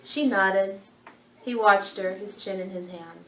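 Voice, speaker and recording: A woman's voice: medium pitch, unhurried, a bit bright. A woman reading scripted literary narration as the narrator of a dramatic story. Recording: roomy sound with faint background noise.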